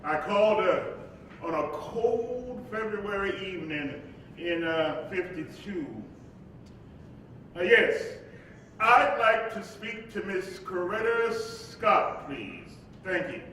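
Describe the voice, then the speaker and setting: A dignified spirit recalling his younger days, played by a man in a stage play. He talks slowly and deliberately in a raised pitch, far from the mic in a hugely echoing hall. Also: expressive, sharp tone, exaggerated voice. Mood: polite, formal. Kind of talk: stage monologue in a play reading. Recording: echoey hall from far off, faint rumble.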